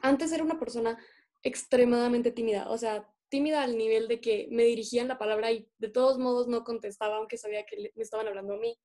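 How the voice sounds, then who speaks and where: A woman's voice: a little high, fairly even intonation, fast talker, mellow. A young woman from Colombia co-hosting a casual podcast, speaking earnestly with many fillers over a remote call. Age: young adult. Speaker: podcast co-host. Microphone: remote call recording.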